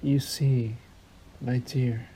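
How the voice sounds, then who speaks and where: A man playing a suave, sadistic vampire lord in an intimate audio roleplay, taunting the listener with silky, theatrical, deliberate phrasing. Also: mid-range voice, flat delivery, noisy background. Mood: seductive, possessive.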